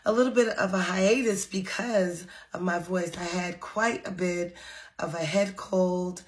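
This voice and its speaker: A woman leading a spiritual coven, talking casually to her followers while she recovers from losing her voice, with many pauses and ums. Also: on the low side, fairly even intonation. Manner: slow, unhurried, with frequent ums. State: recovering from lost voice. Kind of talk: casual spiritual talk to camera.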